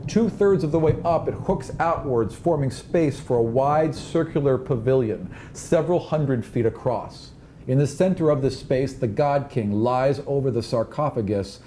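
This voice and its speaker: Mellow-voiced man running a tabletop game. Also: mid-range voice, moderate pace, slight background noise. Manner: conversational, switching between rules talk and scene description. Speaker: game master. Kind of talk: narrating a tabletop fantasy game, casual improvised.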